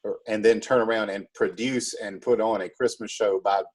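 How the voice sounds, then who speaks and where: A man being interviewed as a school drama club director, explaining in a casual, halting way. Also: on the low side, fairly even intonation, husky.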